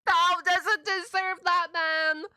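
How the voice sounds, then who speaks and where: A woman's voice: very high-pitched, fairly even intonation, slow pace, pushed and bright, no room sound, clean studio sound. A woman giving casual reaction commentary in an exclamatory, slangy way as a video host.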